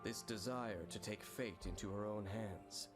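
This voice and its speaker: A man reading scripted promotional narration for a video game in a measured, dramatic storytelling manner. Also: lowish voice. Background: prominent game trailer music.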